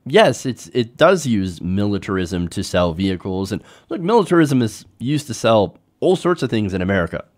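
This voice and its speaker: A man's voice: on the low side, big pitch swings, normal speed, hardly any pauses. A man hosting a political commentary show, talking informally to viewers in a conversational way with rhetorical tag questions.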